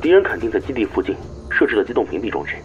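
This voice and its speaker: Man as a rapid-fire tactical subordinate. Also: lowish voice, big pitch swings, lo-fi sound, soft background music. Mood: urgent. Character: alert male tactical subordinate giving reports. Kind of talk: radio drama acting.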